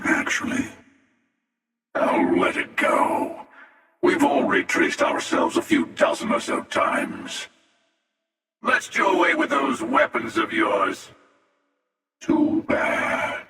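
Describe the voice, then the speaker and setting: A man playing a world-weary, menacing older immortal hunter in a video game, speaking slowly and sardonically in a gravelly, breathy rasp with a reverberant effect. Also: high-pitched, fairly bright tone, heavily acted. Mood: sardonic, coolly tempting. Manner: slow, deliberate, sardonic, taunting. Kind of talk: video game character dialogue. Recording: echoey voice with long reverb, distant.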